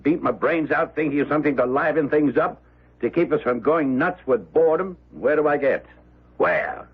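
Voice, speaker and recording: A man's voice: a little high, normal speed, husky. An actor playing a wealthy, domineering old man in a radio drama, blustery and wheedling. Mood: defensive. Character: wealthy, overbearing old miser playing showman host. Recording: dull old radio recording.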